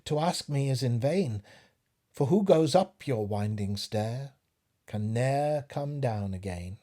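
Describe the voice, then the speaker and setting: A man's voice: mid-range voice, animated, slow pace, warm tone. A man voicing a small fly in a storybook poem, wary and anxiously polite as it refuses temptation.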